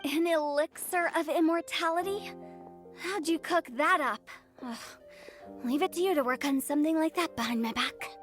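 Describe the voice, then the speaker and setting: An actress playing a playful young funeral director with a theatrical, sardonic, breezy manner in an anime video game. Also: female voice, high voice, normal speed, fairly bright tone, soft background music. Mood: mock annoyed.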